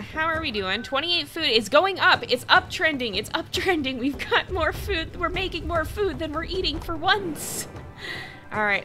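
Woman livestreamer, bright and chatty, mixed American accent, giggles between lines, quiet music bed. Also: high-pitched. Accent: mixed American, slightly British-tinged. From California. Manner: chatty, laughs often, talks to her game and chat. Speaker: streamer. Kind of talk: casual game livestream chat.

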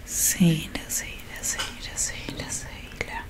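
A young woman with a Rioplatense Spanish accent doing an ASMR roleplay in a soft, breathy whisper close to the microphone, slowly repeating words to soothe. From Argentina. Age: young adult. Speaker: ASMR roleplay creator playing a technician. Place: small dim curtained room indoors. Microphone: close microphone in front of her.

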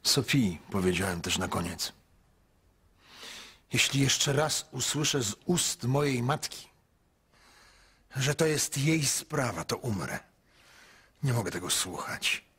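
A male actor playing a grown son in a radio drama, speaking in a measured, heavy, quietly pleading way. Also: medium pitch, lively intonation, breathy edge, exaggerated voice. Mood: weary, pleading. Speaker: son narrating his story.

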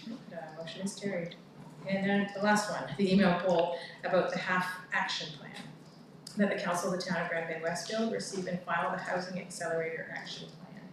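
A woman's voice: on the low side, steady tone. Canadian woman chairing a council meeting. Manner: brisk procedural reading of motions. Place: large council chamber. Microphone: far room mic. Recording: echoey room from far off, background noise.

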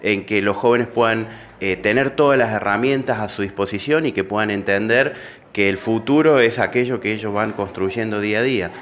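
A middle-aged man speaks in a fairly low, steady voice into a handheld reporter microphone. His delivery is official and measured, dotted with fillers, as in a local news interview indoors. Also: a little hiss or hum. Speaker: employment office coordinator.

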